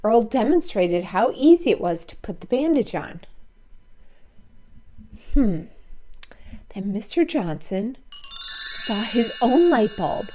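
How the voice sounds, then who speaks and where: A woman's voice: a little high, very animated, slow pace, pauses often, mellow, a little hiss or hum. A woman reading a children's story aloud expressively, with playful asides to listeners. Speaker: storybook read-aloud narrator.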